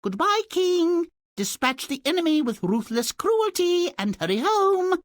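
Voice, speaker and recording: A young storybook maiden for a game, sweet and long-suffering. The speech is dainty and polite with a very wide lilt, recorded dry. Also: male voice, extremely high pitch, sing-song, a little slow, no echo, exaggerated voice. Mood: sweet, blithe. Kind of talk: theatrical video game fairy tale acting.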